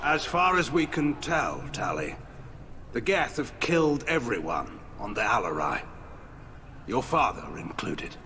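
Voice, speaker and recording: A man's voice: mid-range voice, very animated, unhurried, sharp tone, slight background noise, full character voice. A man playing a blunt, outspoken alien military admiral in a video game. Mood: grim, firm. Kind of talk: dramatic video game acting.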